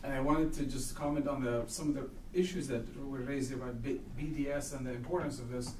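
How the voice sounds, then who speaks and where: A man from Palestine speaking up at a public talk, earnest and halting with many restarts. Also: mid-range voice, steady tone, a little mellow. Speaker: audience member speaking at a talk. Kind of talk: public Q&A comment. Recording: echoey room, distant mic, noisy.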